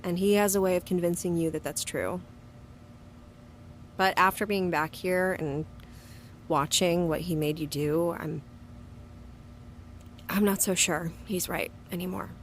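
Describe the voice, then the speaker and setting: A woman's voice: fairly low pitch, steady tone, a little slow, pauses often, slight background noise, lightly acted. An actress playing a hot-tempered, wounded young woman in an audio drama, with a blunt, sweary way of talking. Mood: subdued, reflective. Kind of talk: audio drama acting and first-person narration.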